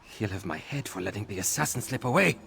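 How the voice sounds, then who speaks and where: Gravelly, harried city guard. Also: male voice, lowish voice, expressive, fast talker, a bit bright, slight background noise. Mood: harried, defensive. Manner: grumbling, curt, defensive. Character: harried, defensive male city gate guard. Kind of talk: video game character acting.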